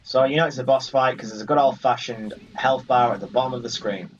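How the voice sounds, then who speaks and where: A man's voice: medium pitch, a little fast, a little hiss or hum. Man giving gameplay commentary, muffled and distant. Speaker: gaming video host. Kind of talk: casual playthrough commentary. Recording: muffled, distant mic over game music. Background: game music.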